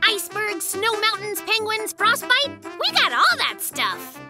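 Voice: very high voice, sing-song, a little slow, over loud music, exaggerated voice. An actor voicing an eager, bossy, boastful little bear cub in a children's cartoon, with a showy, theatrical delivery. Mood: breezy, persuasive. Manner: showy, overconfident, theatrical announcing.

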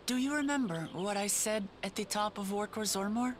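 A woman's voice: mid-range voice, moderate pace, slight background noise, natural voice. An actress playing a young, idealistic lion-woman warrior princess in a video game, speaking earnestly and from the heart. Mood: fond, nostalgic. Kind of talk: voiced game cutscene dialogue.

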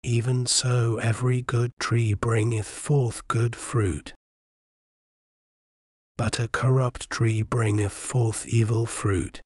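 A man reading scripture aloud in a measured, soothing bedtime manner, with long pauses between phrases. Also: medium pitch, flat delivery, darkish tone, dry recording, studio quality. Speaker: scripture reader. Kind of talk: slow bedtime Bible reading.

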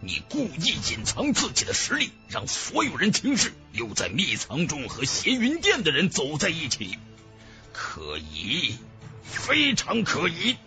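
A haughty, combative swordsman from a fantasy audiobook, acted out by a male narrator. He declaims in a very bright, hard-edged voice with gravel in it. The pitch is high and forceful. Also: wildly swooping pitch, measured pace, soft background music, exaggerated voice. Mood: accusing, suspicious. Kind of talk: audiobook character acting.

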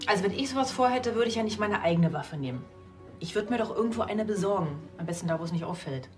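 An actress playing a practical, matter-of-fact police station colleague with dry humour in a TV crime comedy. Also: female voice, medium pitch, lively intonation, fast, music in the background, natural voice.

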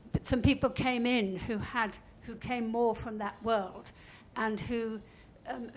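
Woman in the audience, slightly high voice, rambling question, faint hiss. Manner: long winding question with fillers. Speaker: audience member asking a question. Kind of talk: question from the floor.

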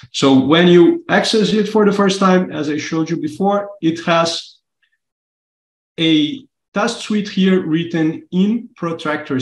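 A man from Brazil whose first language is Portuguese, speaking English on a video call as he walks through a testing project in a relaxed, explanatory way. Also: on the high side, roomy sound. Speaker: workshop presenter. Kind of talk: live online workshop walkthrough. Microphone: webcam video call.

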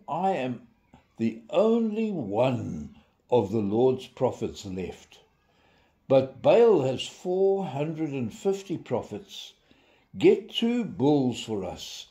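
An older man, giving an informal devotional in a deliberate storytelling manner with dramatic emphasis. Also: mid-range voice, dark tone. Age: 70s. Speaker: devotional preacher. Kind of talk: informal sermon with storytelling and scripture reading.

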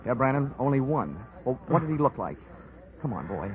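Male actor as hard-boiled insurance investigator, wry, clipped, lively intonation, 1940s radio drama, tinny old recording. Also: mid-range voice, a little fast, a little hiss or hum, close to an everyday voice. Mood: urgent.

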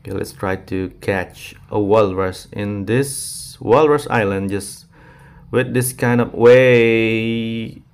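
Slow-paced Indonesian-accented man, gaming commentary. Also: lowish voice, smooth. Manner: informal, addresses viewers as "guys". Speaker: gaming video creator. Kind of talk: casual gameplay commentary, mixing two languages. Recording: voice over background game music. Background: music.

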